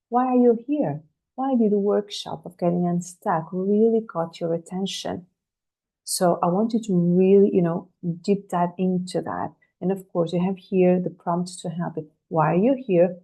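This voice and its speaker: Career coach, woman, rough gravelly voice from a cold, low and mellow, earnest questioning delivery over a video call. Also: low-quality recording. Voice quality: husky, gravelly voice. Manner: earnest, rhetorical questions to the audience. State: has a cold, slight cough. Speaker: career coach leading a workshop. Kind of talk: live online workshop talk.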